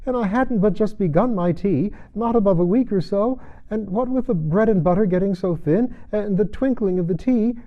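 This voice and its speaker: A man's voice: high-pitched, moderate pace, pitched above the performer's own voice, natural voice. A man voicing a nervous, apologetic hatter in a trembling storybook voice, on a dull recording. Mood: trembling, pleading. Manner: fumbling, apologetic. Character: nervous, wretched male hatter pleading as witness.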